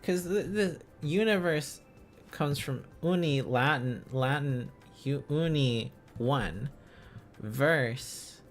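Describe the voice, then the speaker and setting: A man chatting casually as a livestream host, musing aloud in halting, repeated phrases. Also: fairly high pitch, soft background music. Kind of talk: casual livestream chat while learning a language.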